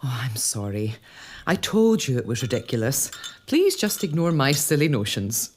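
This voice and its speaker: A woman's voice: extremely low pitch, big pitch swings, normal speed, a little mellow, slight background noise. An actress playing a young mother, lady of an old castle, who speaks hesitantly and apologetically in a period audio drama. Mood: apologetic.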